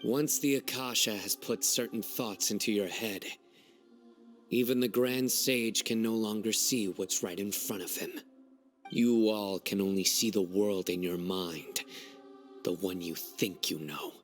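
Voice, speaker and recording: An actor playing a cold, righteous general in a video game, speaking in a deliberate, severe, controlled way. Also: male voice, on the low side, faint music behind, natural voice. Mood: scornful, grave. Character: stern young male general and judge, cold, righteous. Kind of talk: dramatic video game dub.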